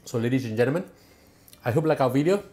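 A middle-aged man hosting a cooking show, explaining each step calmly and patiently in a home kitchen. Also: mid-range voice, racing, soft background music. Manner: calm, patient and instructive, enthusiastic when tasting.

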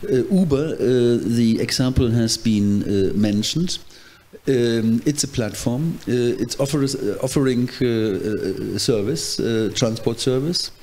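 Panelist, male, 50s, English; halting, earnest, handheld mic in a conference hall. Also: mid-range voice, darkish tone. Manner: hesitant, many fillers and restarts, earnest explaining. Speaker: trade union panelist. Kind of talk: panel discussion answer.

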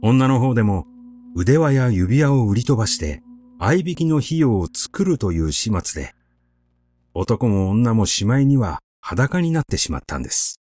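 Man reading a story with lively intonation. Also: mid-range voice, moderate pace. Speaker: audiobook reader.

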